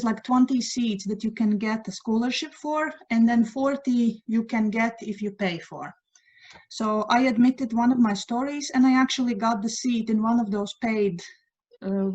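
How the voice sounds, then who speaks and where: A middle-aged Latvian woman whose first language is Latvian, talking casually on a video call from her home at night, pausing often to search for English words. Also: fairly high pitch, darkish tone. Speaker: guest writer sharing news. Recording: dull lo-fi video call audio.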